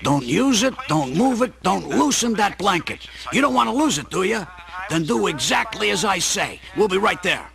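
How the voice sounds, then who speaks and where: A man's voice: high voice, very animated, normal speed, creaky, a crowd in the background, exaggerated voice. A man playing a skeptical, bossy working-class plumber, the older brother, in a radio play, with brusque sarcasm. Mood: brisk, bossy.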